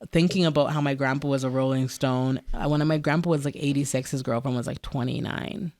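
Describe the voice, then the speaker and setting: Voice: medium pitch, a little fast. A podcast guest from Canada telling personal family stories in a candid, rambling way, full of fillers and bursts of laughter. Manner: animated, lots of fillers like "like", breaks into laughter, jumps into mock voices. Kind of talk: candid conversational storytelling.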